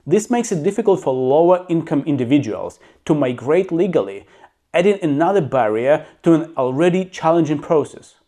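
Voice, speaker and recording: A man with a slightly high voice, speaking English as a second language. He reads facts in an even way, then gives his own blunt, opinionated commentary in a plain-spoken manner. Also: normal speed. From abroad, living in the UK. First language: not English. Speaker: YouTuber. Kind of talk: reading facts with personal commentary.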